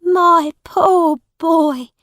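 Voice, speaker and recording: An anxious, tender storybook mother, acted out by a woman reader. Her voice is raised high and pleads with careful emphasis. The words come very slowly, in a dry recording. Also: fairly bright tone, dry recording, full character voice. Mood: pitying, pleading.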